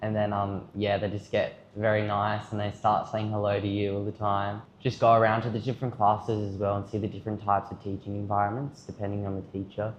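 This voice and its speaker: A man's voice: lowish voice, completely flat delivery, a little fast, hardly any pauses, slight background noise. A young Australian man giving rambling, earnest answers in a casual interview, full of "like" and "um". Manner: rambling, filler-heavy, earnest. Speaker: student at an education camp. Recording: roomy, dull-sounding audio.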